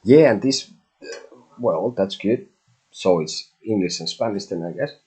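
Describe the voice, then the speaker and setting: A Finnish-accented man in middle age talks in a low, husky voice. He rambles casually as the host of a live tarot stream, restarting phrases and dropping the odd swear word. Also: animated, a little slow, a little mellow. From Finland. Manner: loose and chatty, with restarts and mild swearing.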